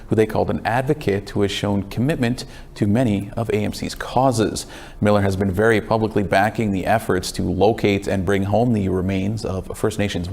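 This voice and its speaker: A man reading scripted news as an anchor in a measured broadcast style. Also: lowish voice, vocal fry, a little mellow.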